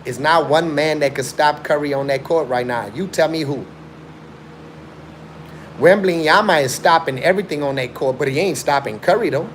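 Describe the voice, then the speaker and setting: A man's voice: fairly high pitch, normal speed, slight background noise. A young man from Chicago, raised in South Africa, hosting a casual live stream and arguing his point with repetitive, slangy, emphatic talk. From born in Chicago, raised in South Africa. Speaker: livestream host.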